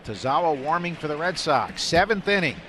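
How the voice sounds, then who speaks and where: A man's voice: on the high side, fairly quick. A man calling a baseball game live on the radio as the play-by-play announcer, from a booth at the ballpark. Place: broadcast booth at an outdoor ballpark. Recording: steady background noise of ballpark. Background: stadium ambience.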